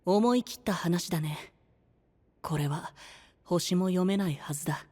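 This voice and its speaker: A male voice actor playing a calm, dreamy, soft-spoken adolescent boy knight with a breathy voice, in an anime-style voice drama. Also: sits high, unhurried. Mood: quietly surprised.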